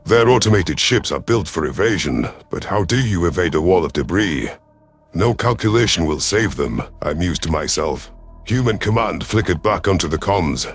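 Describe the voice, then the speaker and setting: A man's voice: sits low, animated, moderate pace, slightly rough. A man narrating a science fiction story in the first person, in a dramatic scripted reading style. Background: background music.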